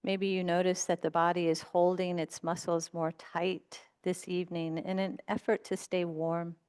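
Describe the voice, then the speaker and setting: A woman leading a guided meditation as a teacher, speaking in gentle, unhurried instructions with long pauses. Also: lowish voice, flat delivery, clean tone.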